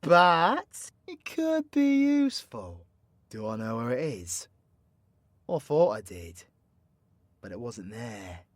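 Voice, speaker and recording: A man's voice: mid-range voice, very animated, slow pace, pauses often, no room sound. A man playing a cocky, foul-mouthed, playfully teasing supervillain mentor in an audio roleplay, with a British accent and a slangy, swaggering, theatrical delivery. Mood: teasing, secretive. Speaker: voice actor. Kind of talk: villain ASMR audio roleplay, addressing the listener.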